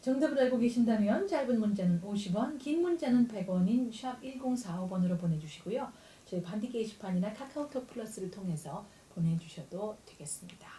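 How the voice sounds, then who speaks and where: A woman hosting a radio show in two languages, talking rapidly and switching between them. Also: mid-range voice, warm tone, roomy sound, recorded from a distance, slight background noise, rough recording. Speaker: radio host.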